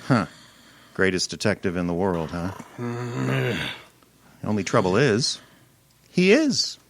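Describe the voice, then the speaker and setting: A wisecracking radio-detective sidekick, acted by a man. His voice is low and gravelly, with lively swings. He is wry and casual. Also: slow, slight background noise, full character voice. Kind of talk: old-time radio acting before a live audience.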